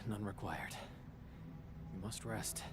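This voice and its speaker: An actor playing a calm, caring male companion in a video game, measured and reassuring. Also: fairly low pitch, heavy background noise. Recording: game music behind. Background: game music.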